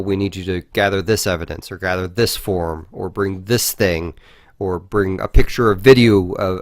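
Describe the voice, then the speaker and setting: A man with a fairly low voice co-hosts a casual podcast discussion. His talk is informal and peppered with profanity. The recording is dry, with very little room sound. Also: no echo. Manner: frequently swearing, informal. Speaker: podcast co-host.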